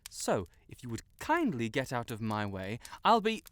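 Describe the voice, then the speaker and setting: A man's voice: medium pitch, wildly swooping pitch, moderate pace, fairly bright tone, no room sound. A man playing a haughty, wordy young wizard who sputters as he is compelled to honesty, in an audio roleplay addressed to the listener. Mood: indignant, haughty. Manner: pompous, theatrical, wordy, sputtering.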